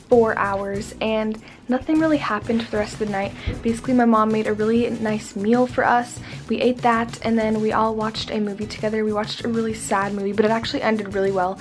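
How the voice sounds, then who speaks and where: Chatty teen girl vlogging to camera. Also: a little high. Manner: chatty, upbeat, talks straight to the camera. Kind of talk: casual vlog chat. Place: car, outdoors and condo bedroom. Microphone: handheld camera at arm's length.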